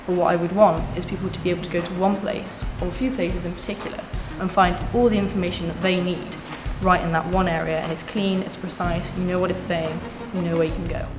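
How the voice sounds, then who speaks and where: A young woman making an earnest, confident campaign pitch as a student election candidate, restating her points in lists. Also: little pitch movement, fast, few pauses. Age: young adult. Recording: echoey room with music behind.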